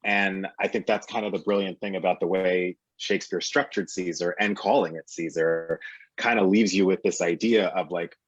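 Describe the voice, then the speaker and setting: A man with a very low voice and a slightly husky edge takes part in a panel discussion. He analyses with emphatic repetition, one parallel phrase after another. The sound is dry and somewhat muffled. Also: steady tone, normal speed, no echo. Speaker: panel guest, actor.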